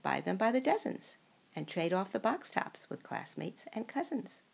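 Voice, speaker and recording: Older woman as an emphatic, creaky town cook. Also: mid-range voice, big pitch swings, normal speed, a little mellow, heavily acted. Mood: confident. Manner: emphatic, declarative rhymes. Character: confident, know-it-all town cook.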